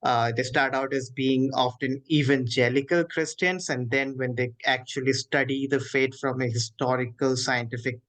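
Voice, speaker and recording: Indian-accented man, halting and formal interviewer. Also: mid-range voice, fairly even intonation, a bit bright. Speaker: interviewer, host.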